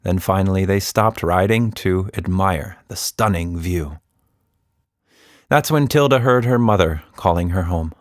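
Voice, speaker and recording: A man narrating a scripted children's story in an expressive, dramatic storytelling manner. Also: low voice, a little slow, no room sound, clean studio sound.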